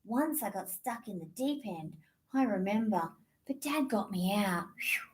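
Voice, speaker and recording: A woman's voice: mid-range voice, expressive, a little slow, background noise. A woman presenting a children's storytime, reading a picture book in a playful, theatrical, sing-song way. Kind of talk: animated picture-book reading and action songs for young children.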